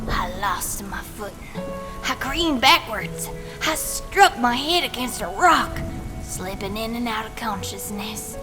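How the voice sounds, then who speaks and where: A woman's voice: mid-range voice, lightly acted. A woman voicing a vengeful young village woman turned hag in a brooding dramatic horror monologue. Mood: tense, frightened. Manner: slow, brooding, swelling from hushed whispers to intense outbursts.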